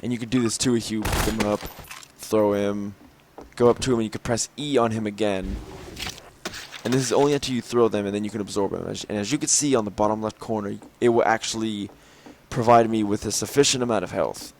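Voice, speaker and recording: Young male gameplay narrator, lowish and a little raspy, casual and rambling, clear game gunfire under him. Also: steady tone, fairly quick, darkish tone, slight background noise. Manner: rambling, frequent fillers and throat clearing. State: slightly raspy from lack of sleep. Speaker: gameplay video host. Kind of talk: mod showcase commentary. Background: game gunfire and clicks.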